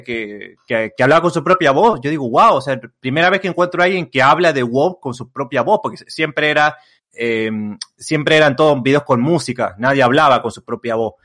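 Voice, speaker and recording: A man's voice: mid-range voice, lively intonation, brisk, a bit bright. Rambling young Argentine man. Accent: Argentine Spanish. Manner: rambling, many fillers and restarts. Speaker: content creator guest. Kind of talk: casual interview chat.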